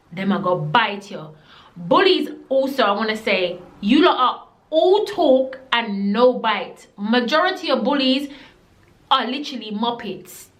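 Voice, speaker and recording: A woman's voice: fairly high pitch, reverberant. A young woman from Jamaica with a Jamaican accent, telling a blunt, emphatic storytime to camera and slipping into patois. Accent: Jamaican, living in the UK. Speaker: vlogger. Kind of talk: storytime vlog.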